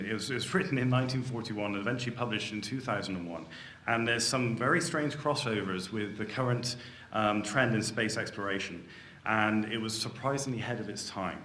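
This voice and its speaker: A man giving an informal, slightly halting introduction as an event host. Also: lowish voice, pushed and bright. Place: indoor conference banquet room. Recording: echoey room with faint noise.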